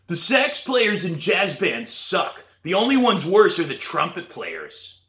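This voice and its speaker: Man doing a loud, sneering schoolboy bully, pitched up. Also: very animated, measured pace, heavily acted. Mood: taunting. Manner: sneering, taunting. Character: mocking sixth-grade boy bully, loud and scornful. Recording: roomy echo.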